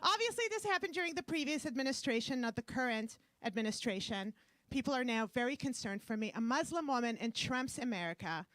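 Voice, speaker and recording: A woman's voice: a little high, expressive, a little fast, a bit bright, no echo. A woman from Canada with a Brooklyn-sounding accent, performing a stand-up comedy set on a club stage, delivering brash, self-mocking punchlines into a handheld mic. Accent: Brooklyn-sounding English. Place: club stage before a live audience.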